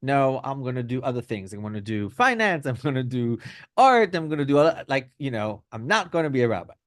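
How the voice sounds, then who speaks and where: A middle-aged rabbi from Belgium and Israel answering interview questions conversationally, hedging with lots of fillers and tag questions. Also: male voice, medium pitch, big pitch swings, a little fast, fairly bright tone, dry recording, clean studio sound. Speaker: rabbi, podcast guest.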